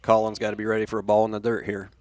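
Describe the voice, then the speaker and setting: A man's voice: lowish voice, monotone, very fast, darkish tone, dry recording. An American man giving conversational color commentary on a baseball game, with plainspoken, coach-like explanations.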